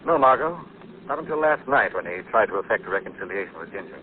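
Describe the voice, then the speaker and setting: An assured gentleman detective, played by a male actor, knowing and composed. His low voice moves with lively inflection in 1940s radio drama fashion. It comes through a tinny old recording. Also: fast talker, slight background noise, close to an everyday voice. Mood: calm, explaining.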